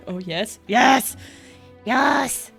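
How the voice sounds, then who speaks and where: A woman's voice: sits high, gravelly. A woman live streaming a game with casual, upbeat commentary, chirpy and often repeating her own phrases.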